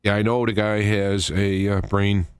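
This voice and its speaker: A man with a fairly low voice hosts a talk show in a dry, close-sounding space. He delivers informal political commentary as a monologue, halting often and wandering into conversational asides. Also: flat delivery, clear, resonant tone, no echo. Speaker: radio show host.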